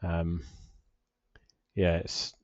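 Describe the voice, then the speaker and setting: Low-voiced British man, rambling casually. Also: monotone, drawn-out words, a little mellow, no room sound, dull audio. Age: forties. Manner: rambling, hesitant, self-deprecating. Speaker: personal finance vlogger. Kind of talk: casual unscripted screen-share commentary.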